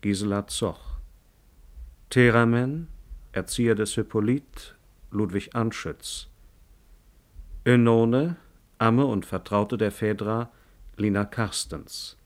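A man announcing a radio play and its cast in a formal, measured manner, phrase by phrase. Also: on the low side.

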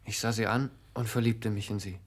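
A man's voice: fairly low pitch, steady tone, brisk, lightly acted. An actor playing a naive, lovesick young village postman in a German radio play, speaking earnestly and pleadingly. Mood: shy, earnest. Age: young adult.

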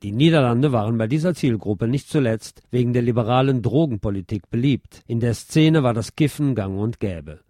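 A man reading scripted narration aloud in a measured, literary manner. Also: mid-range voice, mellow, no echo, clean studio sound. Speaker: narrator reading an essay on German music history.

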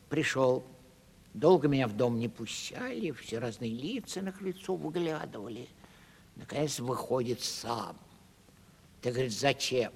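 An actor playing a plaintive, cheeky vagabond provincial comic in a 1970s audio stage drama, telling things animatedly. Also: male voice, mid-range voice, darkish tone. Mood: rueful storytelling. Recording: reverberant hall-like studio recording.

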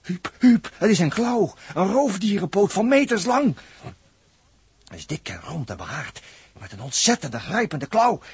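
A man acting an excitable, wry spaceship crewman in a 1960s radio drama, husky, repeating himself in exclamations. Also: sits high, measured pace, exaggerated voice. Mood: alarmed.

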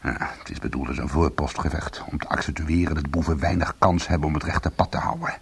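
A man playing a bitter, defiant ex-convict in a Dutch radio play, with a gravelly, husky voice and a sardonic manner. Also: very deep voice, steady tone, quick pace, exaggerated voice. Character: bitter, defiant male ex-convict trying to go straight. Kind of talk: Dutch radio drama dialogue and narration.

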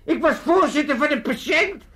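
A man's voice: very high-pitched, lively intonation, moderate pace, bright, forceful tone, full character voice. An older man playing a bossy, cantankerous family patriarch in a comic radio play, theatrical and blustering. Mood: agitated recounting. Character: cantankerous, bossy old Amsterdam family patriarch with grand ambitions.

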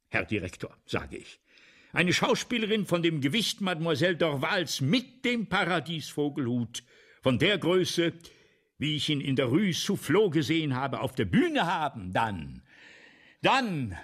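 An actor in a radio play as a vain, anxious middle-aged playwright, obsessive, halting and theatrical, repeating himself. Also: male voice, a little high, very animated, fairly bright tone, slightly rough, full character voice. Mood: excited, insistent. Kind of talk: 1970s German radio play acting.

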